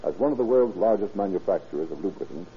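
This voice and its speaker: A man's voice: low-pitched, animated, quick pace, a little hiss or hum. A man working as a radio announcer, reading scripted sponsor copy in a formal, salesmanlike 1930s style. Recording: tinny, muffled 1930s radio recording.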